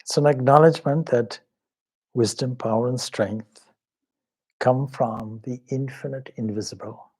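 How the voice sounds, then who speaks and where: A man leading a guided meditation as a swami, speaking in a measured, solemn, devotional way with pauses between short phrases. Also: medium pitch, fairly even intonation, darkish tone, slightly rough.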